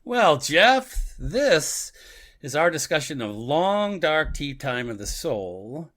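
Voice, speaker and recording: A man's voice: a little high, big pitch swings, takes it slowly, fairly bright tone. A man co-hosting a podcast in casual, reflective conversation, often repeating himself for emphasis. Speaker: podcast co-host. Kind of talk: casual discussion of an audio drama.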